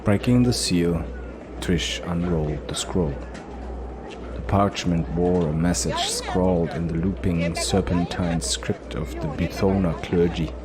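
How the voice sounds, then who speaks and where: A man's voice: low voice, unhurried, background noise. A man reading scripted, dramatic fantasy narration as the storyteller of an audio drama.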